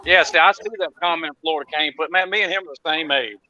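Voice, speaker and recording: A man's voice: a little high, fast talker, pushed and bright, dull audio, a little hiss or hum. A man in his thirties talking college football casually as a panelist, blunt and emphatic, repeating points to drive them home. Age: 30s. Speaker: panelist on college football fan talk show. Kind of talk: casual sports debate.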